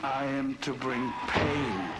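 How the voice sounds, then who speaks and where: Man acting slow, theatrical German mad scientist. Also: mid-range voice, heavy background noise, lightly acted. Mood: fascinated, sinister. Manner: theatrical, grandiose, menacing, deliberate. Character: obsessed, sadistic middle-aged male Nazi mad scientist. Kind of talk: dramatic monologue in a video game cutscene.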